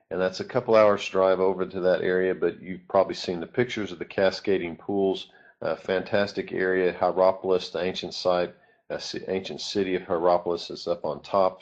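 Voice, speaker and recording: A man with a very low voice walks through a tour itinerary at a steady pace. He often pauses on "uh" between phrases. The recording sounds dull and lo-fi. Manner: steady, frequent "uh" fillers. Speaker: tour presenter. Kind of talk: narrated tour itinerary presentation.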